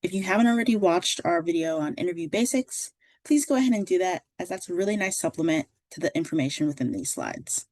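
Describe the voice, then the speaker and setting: A woman reading a scripted instructional narration as a career services presenter, in a clear, measured, instructive way. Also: on the low side, lively intonation, darkish tone, dry recording.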